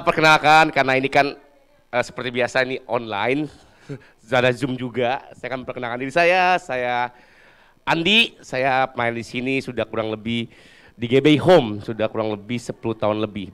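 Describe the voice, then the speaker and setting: A man in his thirties giving a short, casual sermon, hoarse after drumming, joking with the congregation and laughing often. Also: mid-range voice, fairly bright tone. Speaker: worship speaker, church musician.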